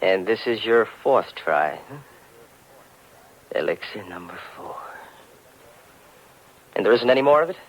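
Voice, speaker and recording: An actor playing a scheming, menacing killer, speaking deliberately in melodramatic 1940s radio style. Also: male voice, lowish voice, animated, dull audio. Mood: sly, probing. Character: menacing, scheming male killer obsessed with immortality. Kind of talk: 1940s radio acting, dramatic first-person narration. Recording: old radio recording with music.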